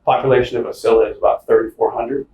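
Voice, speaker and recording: A man's voice: mid-range voice, level tone, quick pace, darkish tone. A man hosting a casual interview podcast, chatty and folksy with many fillers and restarts. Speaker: podcast host and small-town mayor. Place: office conference room. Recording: roomy, distant mic with faint noise.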